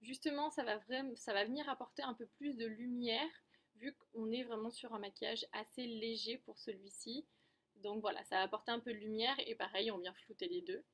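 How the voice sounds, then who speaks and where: A woman's voice: a little high, steady tone, moderate pace, fairly bright tone. A woman giving a casual makeup tutorial, chatty and explanatory, often correcting herself as she goes. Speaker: beauty vlogger.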